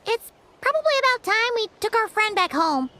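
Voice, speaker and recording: A woman voicing a tiny childlike fairy companion in a video game, squeaky, chirpy and cheeky. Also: big pitch swings, normal speed, slight background noise, exaggerated voice. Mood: chirpy, hesitant. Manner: chirpy, cute. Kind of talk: cartoonish video game voice acting. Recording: loud background music.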